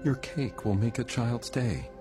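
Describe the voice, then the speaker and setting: A man's voice: medium pitch, unhurried. A man voicing a radio commercial in a soothing, reassuring way. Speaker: commercial voice-over. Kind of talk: scripted radio ad. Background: music.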